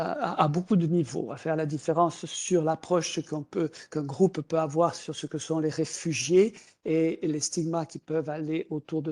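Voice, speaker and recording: A middle-aged man whose first language is Portuguese presents job openings in a webinar. His voice is gravelly and a little high, and he talks in a halting way, repeating words and filling pauses with "euh". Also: darkish tone. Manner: hesitant, many fillers and repeated words. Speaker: recruiter presenting job opportunities.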